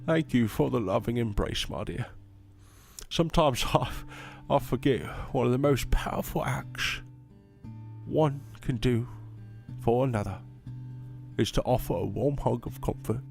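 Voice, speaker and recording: A man playing a gentle, affectionate old counsellor in a fantasy audio drama, speaking in a halting, genteel, comforting way. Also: medium pitch, gravelly, soft background music.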